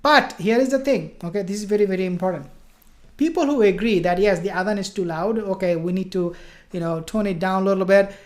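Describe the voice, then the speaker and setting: A woman's voice: medium pitch, a little fast. A woman giving informal religious and political commentary, speaking emphatically with repetition and rhetorical questions.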